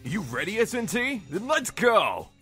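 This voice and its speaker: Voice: medium pitch, sing-song, moderate pace, a bit bright. A performer voicing a cocky male echidna brawler who is itching to fight and taunts his rival, with music playing behind. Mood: eager. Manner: boastful, taunting. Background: background music.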